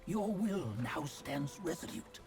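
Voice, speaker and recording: A cryptic old sage guide from a video game. He speaks slowly and solemnly in archaic, measured phrases, with sweeping pitch movement. Loud score music plays behind him. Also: expressive. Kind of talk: dramatic video game dialogue.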